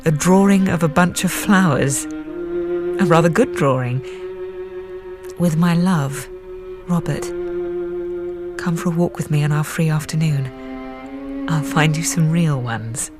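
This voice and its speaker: A young woman psychiatrist, earnest and self-conscious, in a radio drama. Her voice sits fairly low and she speaks in careful, confiding phrases, as if admitting something private. Music plays clearly behind her. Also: takes it slowly, long pauses. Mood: subdued, confiding. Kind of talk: radio drama acting with intimate narration.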